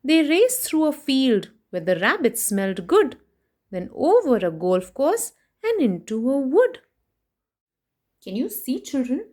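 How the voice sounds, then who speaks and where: A woman's voice: high-pitched, a little slow. A woman reading a children's storybook aloud expressively, breaking off to put questions to young listeners. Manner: animated, addresses children directly with questions.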